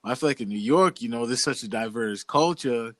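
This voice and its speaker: A man's voice: mid-range voice, brisk, a bit bright, no echo. Laid-back young man from Boston, dry sound. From Boston, USA. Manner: laid-back, loose, slangy storytelling. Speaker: podcast co-host. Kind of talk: casual chat.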